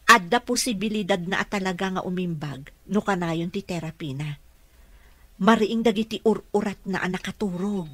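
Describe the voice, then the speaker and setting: An actress playing an earnest, respectful caregiver in a radio drama, speaking politely with a Filipino accent. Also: female voice, mid-range voice, normal speed, no echo, close to an everyday voice.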